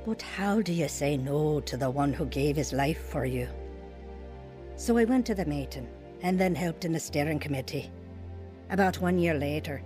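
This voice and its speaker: A woman playing an earnest, devout mother telling her life story in a sincere radio drama style. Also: sits low. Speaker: lead actor and narrator.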